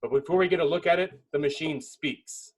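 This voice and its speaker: Husky, higher-pitched male script narrator. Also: brisk, low-quality recording. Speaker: narrator reading stage directions.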